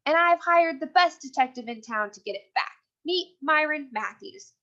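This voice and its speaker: A woman's voice: high-pitched, expressive, normal speed, sharp tone, muffled recording, pitched above the performer's own voice, exaggerated voice. A woman voicing a bold, stubborn, indignant schoolboy in a children's storybook, insistent and confrontational. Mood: accusing.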